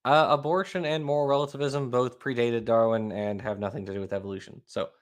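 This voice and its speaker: A man's voice: medium pitch, steady tone, quick pace. A man with a Southern accent hosting a casual panel chat, reading out posts and answering them with wry sarcasm. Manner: wry, sarcastic, laughs often. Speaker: host.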